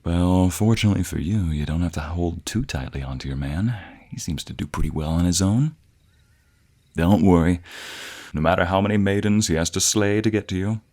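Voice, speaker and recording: Man acting a low, breathy, playful young boyfriend. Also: brisk, darkish tone. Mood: teasing. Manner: teasing, affectionate, breathy murmurs and chuckles. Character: playful, devoted young boyfriend, a villager with a secret. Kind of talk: romantic audio roleplay addressed to the listener. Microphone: close binaural microphone.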